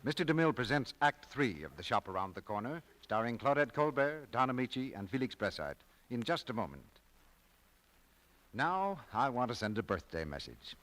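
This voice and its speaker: A man's voice: medium pitch, sing-song, normal speed, a bit bright, a little hiss or hum. A man announcing in scripted 1940s radio style, on an old broadcast recording.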